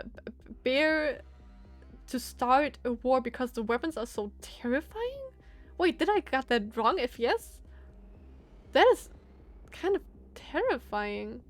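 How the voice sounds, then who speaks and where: A woman with a high voice streams a visual novel. She moves between read-aloud narration and casual commentary, thinking aloud hesitantly over faint background music.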